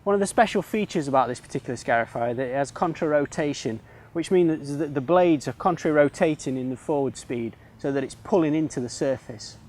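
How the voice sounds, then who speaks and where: A man's voice: fairly high pitch, a little fast, darkish tone. Man presenting an instructional demonstration. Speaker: instructor presenting an educational film.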